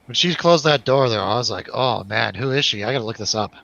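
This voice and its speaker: A man's voice: mid-range voice, fairly quick, vocal fry, sharp tone, highs cut off. A man hosting a casual, jokey film review discussion, with a teasing manner. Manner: joking, teasing. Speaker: host of a film review show. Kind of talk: casual jokey panel chat.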